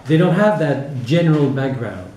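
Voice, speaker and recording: A man's voice: medium pitch, sing-song, moderate pace, dark tone, slight background noise. A man with a French accent giving reflective, explanatory interview answers as a teacher, speaking hesitantly and often checking in with a short tag. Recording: echoey, roomy sound.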